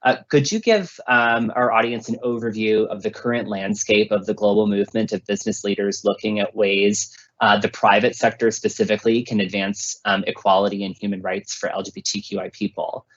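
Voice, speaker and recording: A man's voice: lowish voice, steady tone, fairly quick, lo-fi sound. Easygoing male panel moderator.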